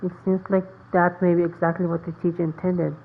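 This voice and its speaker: A woman gives casual gameplay commentary, reacting and reading along. Her voice is on the low side. The microphone sounds thin and phone-like. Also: level tone, a little fast, slight background noise.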